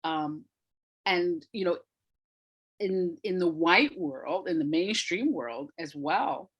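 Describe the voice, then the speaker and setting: A woman's voice: fairly low pitch, slow pace, breathy edge, muffled recording. A woman speaking as an interview guest, explaining in an emphatic way and repeating phrases for effect. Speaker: interview guest, audio drama maker. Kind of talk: animated explanatory interview talk.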